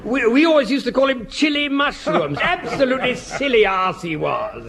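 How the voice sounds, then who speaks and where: An actor playing a chortling, anecdote-telling army officer with an upper-class English accent in a radio sitcom. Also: male voice, very high-pitched, expressive, moderate pace, gravelly, heavily acted. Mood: amused. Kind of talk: British radio sitcom acting. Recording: hissy, noisy old radio recording.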